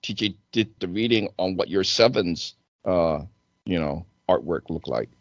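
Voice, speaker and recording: A man's voice: low-pitched, measured pace, no echo, muffled recording. A man hosting a casual talk show, eagerly prompting his guest with questions. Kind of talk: casual conversational interviewing.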